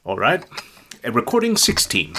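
A formal male presenter in a radio drama, mid-pitched with lively intonation. He introduces things deliberately, slightly hesitant, over faint noise. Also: full character voice. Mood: measured, formal. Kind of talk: audio drama acting as a public presentation.

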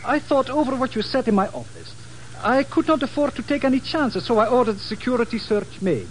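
An actor playing a formal, polite, anxious security colonel in a 1950s radio drama, with precise wording. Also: male voice, extremely high pitch, fairly quick. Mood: grave, urgent. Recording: noisy old 1950s radio recording.

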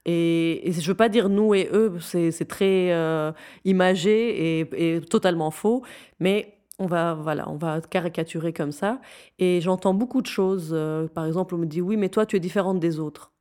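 The lowish-voiced woman guest on an interview show, earnestly pressing her points home. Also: normal speed, dark tone, clear, resonant tone. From Syria. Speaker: interview guest, founder of a youth non-profit. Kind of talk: conversational interview.

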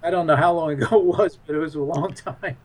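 A man with a fairly high-pitched voice talks casually as an interview guest. His delivery is halting and unscripted, as he searches for the right words. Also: a little mellow. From United States.

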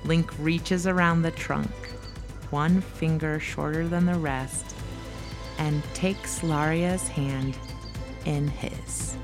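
A woman narrating an audio drama in a measured, lyrical storytelling manner. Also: low voice, steady tone, over music.

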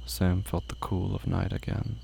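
A narrator reading scripted horror fiction in short, deliberate phrases. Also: sits low, level tone, gravelly, mellow. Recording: faint background noise.

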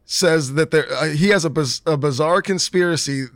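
A man's voice: on the high side, dry recording, clean studio sound. A man co-hosting a casual talk show who is losing his voice, hesitantly reading out a news article. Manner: hesitant, reads an article slowly. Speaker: podcast co-host.

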